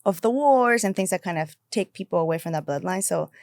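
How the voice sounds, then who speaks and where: A woman's voice: on the low side, animated, fairly quick, no echo. Laughing young woman guest, dry sound. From El Salvador. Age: young adult. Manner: warm and laughing, calls others girl. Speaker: podcast guest. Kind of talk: casual chat.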